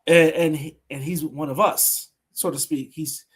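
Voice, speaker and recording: Male podcast host, high and lively, tells stories with emphatic repeats. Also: a little slow, slightly rough. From Brooklyn, New York. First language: Haitian Creole. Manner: animated storytelling, emphatic repeats. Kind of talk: conversational interview.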